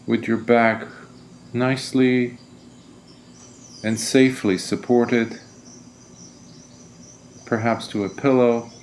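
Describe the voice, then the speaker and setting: A man leading a guided meditation as a teacher, speaking in a gentle, deliberate, soothing manner. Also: mid-range voice, flat delivery, slight background noise.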